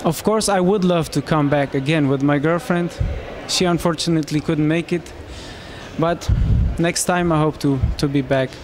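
A man in his thirties from Romania, speaking English, answering interview questions into a handheld mic in a small room, with halting phrasing and frequent restarts. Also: on the high side, a little hiss or hum. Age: 30s. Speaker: marathon runner interviewee.

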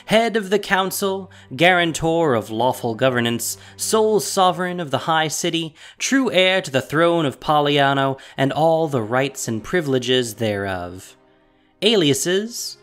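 Man as a slow, ceremonious fantasy herald. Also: fairly high pitch, fairly bright tone. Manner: ceremonious, grandly deliberate. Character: formal male herald announcing fantasy dossier titles. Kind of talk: dramatic title announcement in audio drama. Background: background music.

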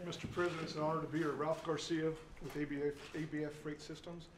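A man's voice: on the high side, little pitch movement, fast talker. A man in the trucking business introducing himself proudly and upbeat. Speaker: trucking worker. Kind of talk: formal self-introduction. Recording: echoey room, loud clicking noise.

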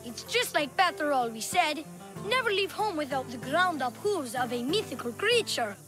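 A woman's voice: high voice, sing-song, normal speed, music in the background. A woman playing a cheeky young boy tour guide and sly con artist in a video game, talking in glib salesman patter with feigned innocence. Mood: glib salesmanship. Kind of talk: video game character acting.

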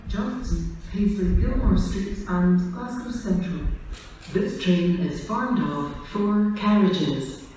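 A woman's voice: medium pitch, warm tone. A woman making automated train announcements over a station's public-address system in formal, clipped, segmented phrases. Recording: distant, very echoey, noisy station PA recording.

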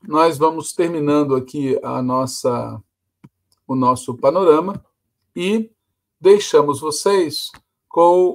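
Older man giving firm political commentary. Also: a little high. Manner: firm, opinionated delivery. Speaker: host and commentator. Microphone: video call webcam.

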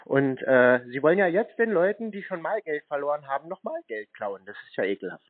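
A man's voice: on the high side, big pitch swings, a little fast. A man in a phone conversation, bluntly challenging the other side with sceptical, confrontational questions. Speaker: scam-call baiter. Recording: dry, narrow phone-like sound.

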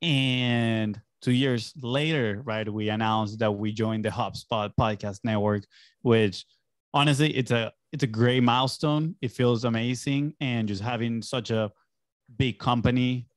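A man's voice: medium pitch, steady tone, unhurried, no room sound. Dry, close-miked young Venezuelan man. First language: Spanish. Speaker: podcast guest, agency entrepreneur. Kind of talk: casual conversational storytelling. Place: small home studio indoors. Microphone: close boom-arm podcast microphone with headphones.

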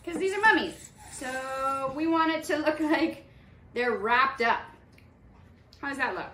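Lady doing a toddler cooking show, bright sing-song voice, roomy echo w some noise. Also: sits high, expressive, a little slow. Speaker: preschool teacher hosting a children's cooking class. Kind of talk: child-directed cooking demonstration. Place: small indoor playroom kitchen set. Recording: echoey room with some background noise.

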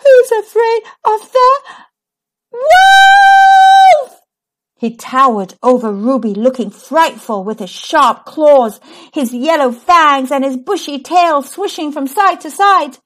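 A woman reading a children's story aloud with dramatic, deliberate, expressive storytelling. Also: sits high. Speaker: storybook reader.

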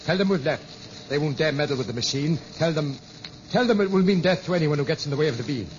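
A resolute young rebel son acted by a man in an old radio drama. He speaks earnestly and gives orders decisively. The broadcast is dull with clear background noise. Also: fairly high pitch, lively intonation, fast talker, close to an everyday voice. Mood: urgent. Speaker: radio actor. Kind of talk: 1950s radio drama acting. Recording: muffled, noisy vintage radio broadcast.

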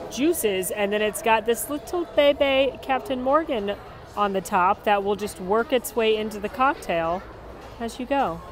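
A young woman hosting a casual review vlog at a restaurant table. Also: mid-range voice. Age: young adult. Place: themed restaurant, indoors. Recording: loud restaurant crowd and music.

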